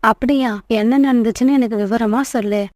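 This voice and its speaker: Woman as a mellow motherly sparrow. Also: fairly high pitch, steady tone, brisk, dry recording, studio quality, lightly acted. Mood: scolding. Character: caring but scolding mother sparrow. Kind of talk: children's cartoon voice acting.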